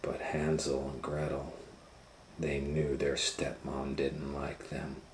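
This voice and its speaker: A man reading a children's storybook aloud as host, theatrical and folksy, with drawn-out phrasing and asides. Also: a bass voice, monotone. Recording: roomy sound with clear background noise.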